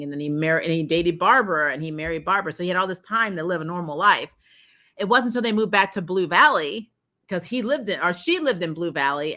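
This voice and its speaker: A woman hosting a casual review livestream, chatting to viewers in an animated, opinionated and emphatic way. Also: on the low side. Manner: animated, opinionated, quick to laugh. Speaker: host of a TV show review livestream. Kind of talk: casual livestream chat, reacting to episodes and reading viewer comments.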